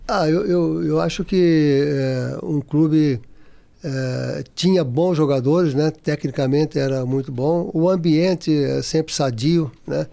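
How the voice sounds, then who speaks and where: Former footballer guest, Brazilian man in his sixties, gravelly and mellow, speaks haltingly with lots of fillers. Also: a little high. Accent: Brazilian Portuguese. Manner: halting, many restarts and fillers. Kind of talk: casual interview.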